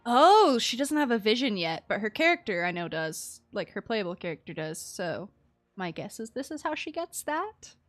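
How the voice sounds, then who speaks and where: A woman's voice: medium pitch, big pitch swings, moderate pace, no echo, soft background music. A woman live-streaming a game, giving casual, chatty and giggly commentary to her viewers.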